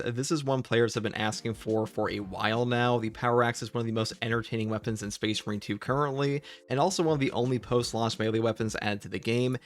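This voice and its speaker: A man delivering scripted video game news commentary as a channel host. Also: medium pitch.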